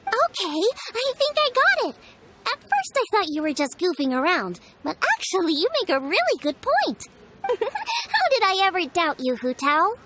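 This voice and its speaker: Eager girl cook, extremely high and animated, upbeat and curious, game dialogue. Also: normal speed, heavy background noise, heavily acted. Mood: friendly. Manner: upbeat, inquisitive. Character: eager, friendly girl cook researching new dishes. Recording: music behind the voice.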